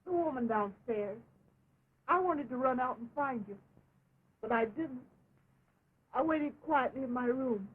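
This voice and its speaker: An actress playing an anxious, devoted young sweetheart, earnest and fretful, in early talkie melodrama style. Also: female voice, sits high, animated, unhurried, pauses often, slightly rough, exaggerated voice. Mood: anxious relief. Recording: tinny, band-limited 1920s film soundtrack.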